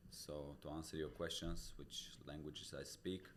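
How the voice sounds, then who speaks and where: A man's voice: a bass voice, flat delivery, unhurried, a little hiss or hum. A man from Tajikistan, a real estate broker, explaining himself earnestly as a podcast guest, with a non-native English accent. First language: Pamir dialect. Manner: earnest, explains step by step with many restarts. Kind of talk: casual interview talk. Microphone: studio podcast mic.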